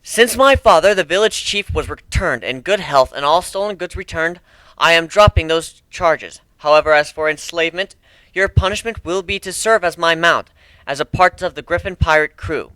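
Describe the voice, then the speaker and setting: A man's voice: on the high side, normal speed, pushed and bright, dry recording, pitched above the performer's own voice, rough recording. A man voicing a firm young griffin leader who passes judgment in formal declarations during a story reading. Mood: solemn, commanding.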